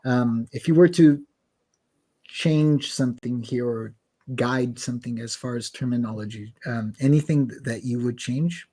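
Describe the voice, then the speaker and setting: A man hosting a live online session, chatting casually and self-deprecatingly as he demos translation software. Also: medium pitch, measured pace, breathy edge. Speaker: host demoing translation software. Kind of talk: casual live demo talk.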